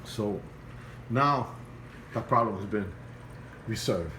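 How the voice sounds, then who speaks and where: A man with a non-native English accent, talking casually at home in a halting, repetitive way while filming an aquarium on his phone. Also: lowish voice, pushed and bright, slight background noise, rough recording. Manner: halting and repetitive, restarts phrases, earnest and pleading. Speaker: hobbyist vlogger. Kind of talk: casual home video walkthrough. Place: home, beside an aquarium indoors. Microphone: phone held by the speaker while filming.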